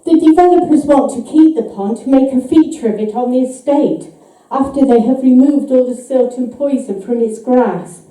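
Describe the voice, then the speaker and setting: Female author reading eerie fiction live, measured and suspenseful, high mellow voice, picked up from a distance in a reverberant space. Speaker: author reading her own short story. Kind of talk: live dramatic story reading. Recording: echoey hall, distant microphone.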